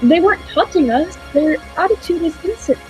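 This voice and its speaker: A woman's voice: very high voice, expressive, fairly quick, music in the background, poor audio. A woman playing an earnest, thoughtful time traveller who narrates a radio play with dramatic storytelling. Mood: reflective, wistful.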